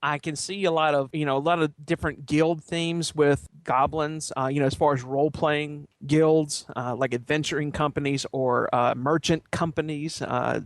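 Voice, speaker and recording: A man's voice: fairly high pitch, dry recording. A man talking casually as a podcast panelist, in a thoughtful, rambling way.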